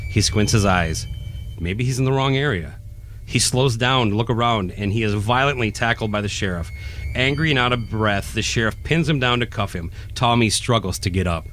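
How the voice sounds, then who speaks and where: A man's voice: fairly low pitch, a bit bright, a little hiss or hum. A man reading scripted narration for a horror radio play. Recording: faint music behind the voice.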